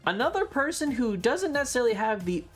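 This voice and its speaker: A streamer with a mid-pitched voice talks casually over background music. The delivery rambles along, opinionated and emphatic, with swearing dropped in freely as they address a live audience. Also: very animated, fast, a bit bright. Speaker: livestreamer. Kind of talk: casual chat to a livestream audience.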